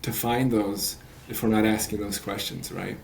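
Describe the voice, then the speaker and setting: A man's voice: lowish voice, fairly even intonation, normal speed, vocal fry, slight background noise. A man in his late thirties, a coach talking as a podcast guest, earnest and rambling with many fillers and restarts. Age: 30s. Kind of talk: podcast interview.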